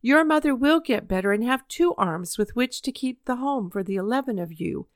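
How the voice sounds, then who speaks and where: A woman voicing a kindly grown-man doctor who speaks calmly and gently. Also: fairly high pitch, moderate pace, dry recording, clean studio sound, close to an everyday voice. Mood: earnest.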